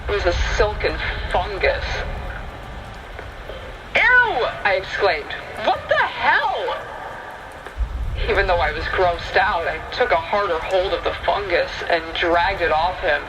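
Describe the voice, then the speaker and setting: Male horror storyteller, high voice, unhurried delivery, clearly noisy old-time radio backdrop. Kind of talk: scripted first-person horror narration. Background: rain and thunder ambience.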